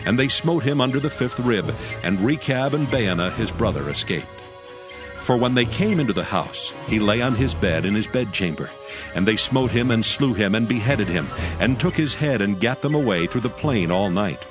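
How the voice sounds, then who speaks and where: Low-voiced man narrating scripture. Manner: measured, even reading. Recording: music bed under voice.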